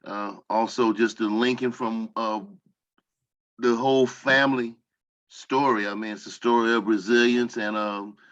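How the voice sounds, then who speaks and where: A man's voice: medium pitch, steady tone, measured pace, fairly bright tone. A man from a cast giving casual, reflective comments over a dull, lo-fi connection. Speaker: cast member.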